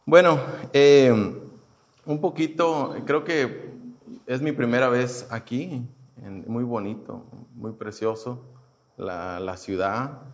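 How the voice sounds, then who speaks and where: A man's voice: medium pitch, slow, lo-fi sound. A man in his thirties from Houston, telling his personal faith testimony in a casual, hesitant way with many fillers and restarts. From Houston, United States. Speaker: churchgoer giving a testimony.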